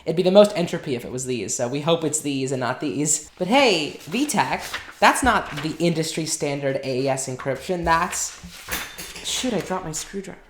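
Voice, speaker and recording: A woman giving a casual technical walkthrough, explaining each step out loud while she works on a device. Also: low voice, lively intonation, moderate pace, roomy sound, slight background noise. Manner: explains step by step while working, thinking aloud. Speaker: hardware tinkerer and channel host.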